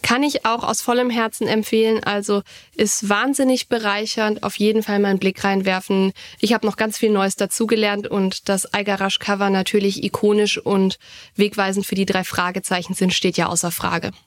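A woman's voice: medium pitch, little pitch movement, normal speed. A woman co-hosting a casual fan podcast, explaining her thoughts articulately. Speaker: podcast co-host.

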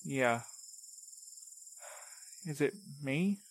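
A man's voice: medium pitch, lively intonation, very slow, no echo, exaggerated voice, rough recording. An actor playing a blunt, sardonic male courier with clipped phrases in an audio drama. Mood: shaken, weary. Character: gruff, blunt male courier named Milo, secretly shaken. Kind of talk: full-cast audio drama acting.

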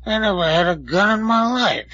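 An actor playing a surly, bewildered, hungover drunk in an old-time radio mystery, with slangy, hesitant, grumbling speech. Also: male voice, high voice, animated, moderate pace, sharp tone, no echo, lo-fi sound, exaggerated voice. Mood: indignant, suspicious.

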